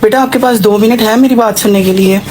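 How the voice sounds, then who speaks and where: A woman's voice: a little high, fast, background noise, lightly acted. A woman playing a persuasive, well-off mother seeking a bride for her son on a prank call, mixing in English words. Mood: polite, coaxing. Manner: auntie-like, persuasive, addresses listener as child, English words mixed in. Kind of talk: acted prank phone call.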